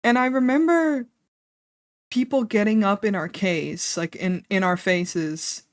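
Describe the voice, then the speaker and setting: A woman's voice: mid-range voice, measured pace, no echo, clean studio sound. A young woman from the Netherlands with a Dutch accent, chatting casually and candidly on a stream, laughing often and swearing freely. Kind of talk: casual stream chat while drawing.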